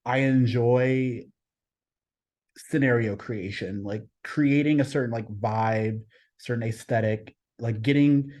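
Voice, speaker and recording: A man's voice: mid-range voice, fairly even intonation, slow, a little mellow. Thoughtful man from Texas. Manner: thoughtful, searching for words, frequent restarts. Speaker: podcast guest, artist. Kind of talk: casual podcast conversation.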